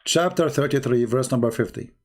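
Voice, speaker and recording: A man's voice: mid-range voice, a little fast. A man with an Arabic accent hosting a heated call-in debate, bluntly repeating his questions and pressing the caller. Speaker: host of a live call-in debate.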